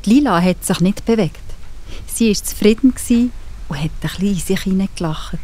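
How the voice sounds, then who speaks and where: A woman's voice: medium pitch, normal speed, warm tone. A woman with a Swiss accent narrating a scripted children's story.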